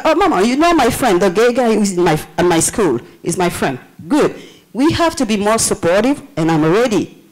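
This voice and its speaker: Animated Senegalese woman speaking at a meeting. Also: mid-range voice, low-quality recording. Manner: passionate, animated, laughs often. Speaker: public commenter. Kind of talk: public comment at a meeting. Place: public meeting room.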